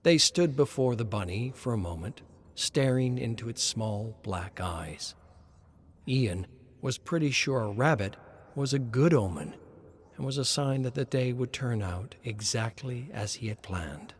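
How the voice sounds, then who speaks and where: A man's voice: mid-range voice, lively intonation, unhurried, slight background noise. A man reading a scripted horror story as its narrator.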